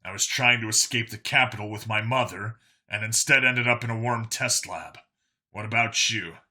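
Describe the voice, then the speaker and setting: A man voicing a guarded, anguished young man in a science fiction story, speaking in a halting, emotional way. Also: on the low side, pushed and bright. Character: young male abductee cop, guarded, anguished son.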